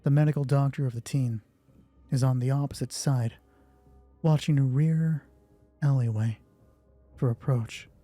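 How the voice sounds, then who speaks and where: Husky guy doing solo rpg narration, informal, mellow tone, faint music behind him. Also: mid-range voice, fairly even intonation, a little slow, long pauses, no echo. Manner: informal, swearing, thinking aloud. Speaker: solo roleplay game master and narrator. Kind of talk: narrating a tabletop game, dramatic storytelling mixed with casual rules talk.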